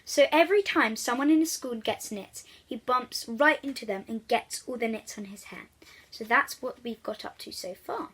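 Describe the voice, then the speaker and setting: A woman reads a children's storybook aloud in a high, very bright voice. She is animated and expressive, talking directly to young listeners as she goes. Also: moderate pace, breathy edge. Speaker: children's book reader.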